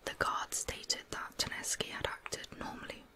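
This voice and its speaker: Whispering woman telling true crime. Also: normal speed. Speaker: ASMR creator narrating true crime. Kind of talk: whispered ASMR storytelling.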